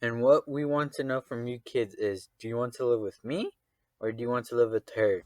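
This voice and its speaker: A stern, suspicious husband and father from a radio play, acted by a man. He is gruff and confrontational at a medium pitch, in a very dry recording. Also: brisk, a little mellow, no room sound, lightly acted. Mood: curt. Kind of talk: radio drama acting.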